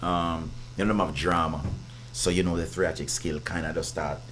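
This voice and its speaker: A man with a Jamaican accent and a big, deep voice, talking as a guest in a casual interview, speaking in patois and often checking that he is understood. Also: fairly even intonation, normal speed, fairly bright tone, slight background noise. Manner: patois-heavy, repeats "you understand". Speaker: guest artist.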